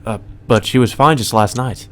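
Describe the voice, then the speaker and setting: A man voicing a gloomy, anxious male high school student with a hesitant manner, in a visual novel read aloud. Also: moderate pace, lightly acted. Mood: weary. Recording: music under the voice.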